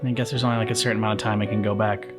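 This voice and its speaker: A man giving casual reaction commentary in a halting way, thinking aloud. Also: lowish voice, little pitch movement. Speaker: reaction channel host. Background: faint game music.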